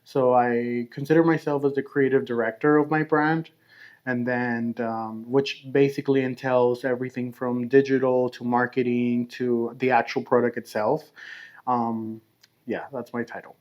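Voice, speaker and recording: A man from Mexico, guest on a podcast, answering casually and steadily with frequent pauses and light laughs. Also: mid-range voice, level tone, a little mellow, dull audio. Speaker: podcast guest, snack brand founder.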